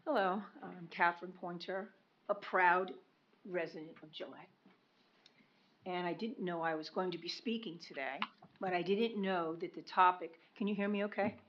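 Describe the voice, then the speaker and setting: A woman speaking at a county meeting's public comment, with a loud voice, pressing questions and repeating words for emphasis. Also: lowish voice, moderate pace, a little hiss or hum. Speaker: public commenter, local resident. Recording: dull lo-fi meeting recording.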